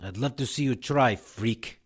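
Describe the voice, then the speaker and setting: Stern henchman, medium pitch. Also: a little slow, breathy edge. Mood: menacing. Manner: stern, menacing. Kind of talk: audio drama acting.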